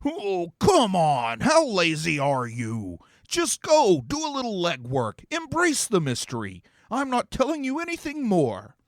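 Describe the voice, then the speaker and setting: A man voicing a theatrical, greedy male demon that talks in grandiose, scornful tones, with a strained, drawn-out delivery. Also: sits high, huge pitch swings, no echo, clean studio sound, pitched above the performer's own voice, full character voice.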